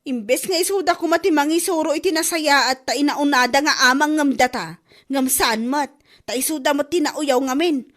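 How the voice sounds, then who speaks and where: A woman acting a sharp-tongued, scolding woman in a comedy audio drama, theatrical and exaggerated. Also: high-pitched, normal speed. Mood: indignant.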